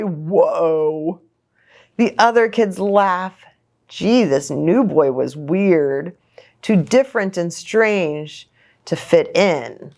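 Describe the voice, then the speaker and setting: Animated, slow-paced woman reading to children. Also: lowish voice. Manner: sing-song, expressive, addressing young children. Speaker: pastor and children's story time host. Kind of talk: animated children's picture-book read-aloud.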